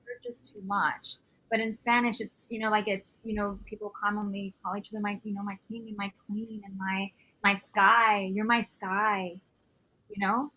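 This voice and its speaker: A woman's voice: medium pitch, level tone, normal speed, bright, forceful tone, rough recording. A chatty woman from Miami talks on a video call, her speech loose and filler-heavy. She drifts in and out of Spanish words mid-sentence as she explains things. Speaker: author guest. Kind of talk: casual conversation on a video call.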